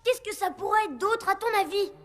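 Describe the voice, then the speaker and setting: A woman voicing an earnest, stubborn and excitable young boy in a cartoon, with an emphatic, pleading delivery. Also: very high-pitched, a little fast. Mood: insistent. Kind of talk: animated cartoon dubbing.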